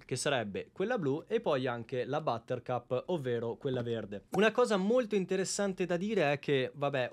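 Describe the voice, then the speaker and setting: A man hosting an unboxing vlog, talking informally and straight to his viewers. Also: mid-range voice. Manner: informal, chatty, addresses viewers directly. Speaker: YouTube host, sneaker reseller.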